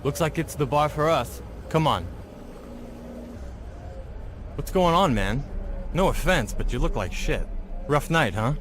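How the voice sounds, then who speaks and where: Lively, blunt male buddy. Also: on the high side, a little slow, long pauses, slight background noise. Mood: breezy. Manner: casual, blunt, animated. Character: blunt, casual male buddy, cynical but friendly. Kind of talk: video game cutscene dialogue.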